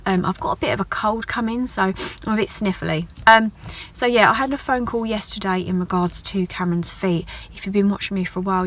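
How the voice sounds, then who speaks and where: A woman, a hairdresser chatting casually to her viewers in a video diary, rambling from one thing to the next. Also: medium pitch. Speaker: vlogger and hairdresser.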